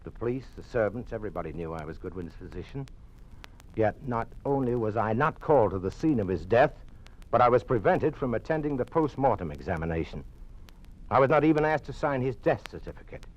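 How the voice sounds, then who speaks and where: A man's voice: mid-range voice, animated, brisk, close to an everyday voice. A man playing a defiant, suspicious doctor in a police state, blunt and challenging, in an old-time radio drama. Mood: insistent, accusing. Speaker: actor. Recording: dull, lo-fi old radio recording.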